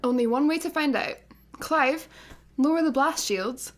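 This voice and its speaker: A woman playing an exasperated, practical space traveller in a sci-fi comedy radio play, brisk and bossy in manner. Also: sits high.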